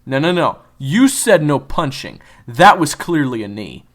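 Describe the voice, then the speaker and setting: A man voicing a hot-tempered, sarcastic tough teenage schoolboy who snaps loudly. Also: on the high side, sing-song, unhurried, pitched above the performer's own voice, exaggerated voice. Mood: angry. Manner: snapping, sarcastic, loud.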